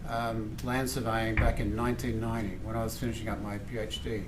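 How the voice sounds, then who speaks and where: A man's voice: fairly low pitch, little pitch movement, a little fast. Middle-aged Australian man, echoey noisy room. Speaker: visiting professor, seminar speaker. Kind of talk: informal academic seminar talk. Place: indoor university seminar room. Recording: echoey lecture room, clear background noise.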